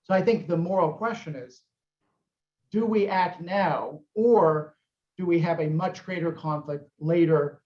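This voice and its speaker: A man's voice: on the high side, a little slow, a little mellow, roomy sound, highs cut off. A man on an academic panel making an earnest argument, with frequent restarts. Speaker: historian on panel.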